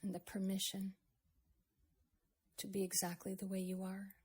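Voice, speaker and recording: Female meditation guide, breathy and husky, even-toned delivery, gentle and unhurried with generous pauses. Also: mid-range voice. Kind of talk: slow guided meditation, with a poem recited.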